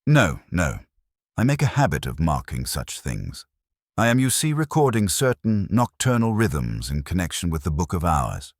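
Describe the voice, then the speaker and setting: A man's voice: low-pitched, very animated, normal speed, no echo, studio quality, heavily acted. A man reading a frail, pious eccentric lodger in a mystery story, grave, fussy and pedantic. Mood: grave, self-important.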